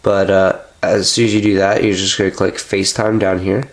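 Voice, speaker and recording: A young man with a blocked, stuffy nose talks a little low as he explains phone settings step by step. His delivery is casual and meandering, full of little filler sounds, picked up by a camera close by indoors. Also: little pitch movement, brisk, slight background noise. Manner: loose and chatty, many filler sounds. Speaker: tech tutorial host.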